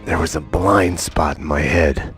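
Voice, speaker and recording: An actor playing a haunted, world-weary middle-aged detective, narrating in a brooding, deadpan noir style with a gravelly voice. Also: male voice, very deep voice. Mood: bleak, haunted. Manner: brooding, deliberate, deadpan delivery. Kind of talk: scripted film-noir narration. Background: music.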